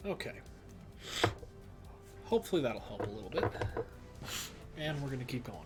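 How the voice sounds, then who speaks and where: A man with a stuffed-up nose, thinking aloud slowly with lots of fillers as he streams a geography game. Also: mid-range voice, very animated, loud music behind the voice. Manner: slow, musing, thinks aloud with many fillers. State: stuffed up, sniffly. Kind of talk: casual live-stream commentary.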